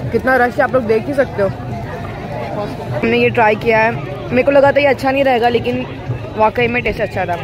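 A woman narrating a casual travel vlog outdoors at night, chatty and full of excited exclamations. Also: fairly high pitch, fairly quick, long pauses. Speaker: vlogger. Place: outdoors at a crowded beach at night. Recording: crowd noise behind. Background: crowd chatter.

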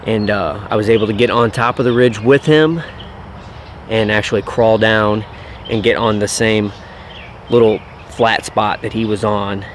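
A man's voice: fairly low pitch, fairly even intonation, unhurried, slight background noise. A young man, casually recapping a turkey hunt outdoors, rambling and repeating words as he goes. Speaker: turkey hunter. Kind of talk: casual hunting vlog recap. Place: outdoors by woods.

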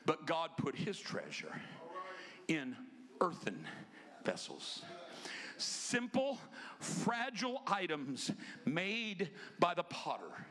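A man in his fifties preaching a sermon in a church sanctuary, emphatic and often shouting, with quick joking asides. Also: on the high side, wildly swooping pitch. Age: 50s. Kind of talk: energetic Pentecostal sermon. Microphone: handheld microphone.

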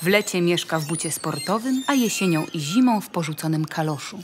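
A woman's voice: lowish voice, animated, brisk, noisy background. A woman reading scripted narration in the style of a nature documentary for an audio play. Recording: music behind the voice. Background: music.

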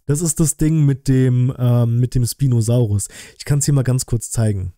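Guy in his 30s, streamer chatting casually, really mellow dark tone, dry room close mic. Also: medium pitch, moderate pace, no echo. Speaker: streamer hosting a reaction stream. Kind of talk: casual livestream chat. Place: small indoor home streaming room. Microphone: close desk microphone on an arm.

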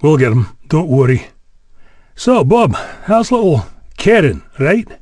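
A man's voice: on the high side, big pitch swings, unhurried, a little mellow, dry recording, studio quality. A man acting a glib, self-assured vice chancellor in an audio drama.

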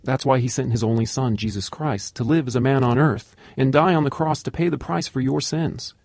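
An actor playing a patient, earnest evangelist in a radio drama, measured and solemn. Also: male voice, medium pitch, vocal fry, a little mellow, dry recording. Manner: measured, solemn when quoting. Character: patient, earnest male evangelist quoting scripture.

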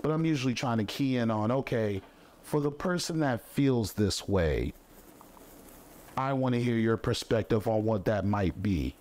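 A man co-hosting a relaxed conversation, reflective and explaining his view at length. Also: medium pitch, moderate pace. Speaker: co-host. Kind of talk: casual conversation. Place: studio set with a table.

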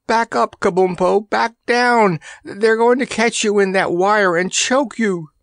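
Man putting on a high, excitable young boy. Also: a little slow, dry recording, studio quality. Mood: panicked. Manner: urgent, exclamatory.

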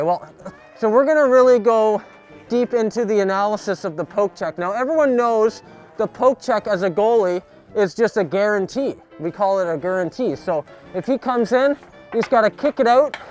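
A young man outdoors giving a jokey sports tutorial, coaching in a mock-serious, deadpan way full of hockey slang. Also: sits high. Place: backyard, outdoors. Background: music.

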